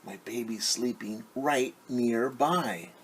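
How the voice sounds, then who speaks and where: A man voicing an anxious mother from a storybook, pleading in a sing-song, drawn-out way with hushed shushing for quiet around her baby. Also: mid-range voice, a little hiss or hum, lightly acted. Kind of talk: storybook read-aloud, rhythmic refrain.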